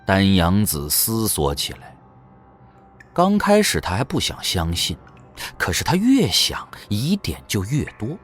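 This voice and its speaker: A man's voice: lowish voice, wildly swooping pitch, a little slow, faint music behind. A man narrating an audio drama in a scripted, dramatic storytelling manner.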